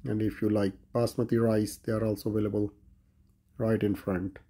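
Gravelly-voiced man touring a grocery store. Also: lowish voice, level tone, normal speed, dark tone. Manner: plain, listing items and prices. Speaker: vlogger. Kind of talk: grocery store walkthrough narration. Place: large grocery store, indoors.

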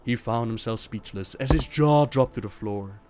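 A man's voice: medium pitch, moderate pace, darkish tone. A man narrating fantasy tales as a host, in a dramatic storybook style.

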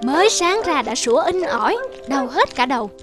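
A woman's voice: high-pitched, very animated, normal speed. A woman voicing a greedy, ill-tempered older rich woman who scolds in a cartoon. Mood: irritated. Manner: scolding, irritable. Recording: music playing underneath.

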